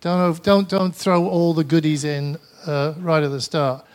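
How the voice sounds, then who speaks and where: A man with a Mancunian English accent, speaking a little higher than most men. He sounds tired and talks in a loose, conversational way, stumbling and restarting as he fields questions at a conference. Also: flat delivery, unhurried, darkish tone. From Manchester, England. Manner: hesitant, restarts often, conversational. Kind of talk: presentation with audience Q&A.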